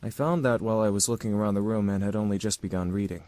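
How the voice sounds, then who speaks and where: A man's voice: lowish voice, fast talker, warm tone, no room sound, close to an everyday voice. A man voicing a polite, scholarly male demon in a visual novel, speaking in a formal, courteous, explanatory way. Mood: earnest, lecturing. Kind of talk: visual novel character acting.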